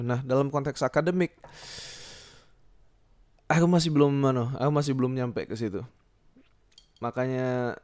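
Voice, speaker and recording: A young man talks casually alone as a podcast host, rambling and halting as he thinks aloud and repeats himself. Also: mid-range voice, a little mellow, clear, resonant tone, no echo. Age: young adult. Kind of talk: casual solo podcast monologue.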